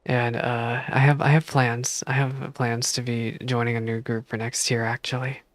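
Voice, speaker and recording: A woman streamer chatting casually while reacting to a game, halting and repeating phrases as she talks. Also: rumbling low, little pitch movement, no room sound. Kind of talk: casual live reaction chat.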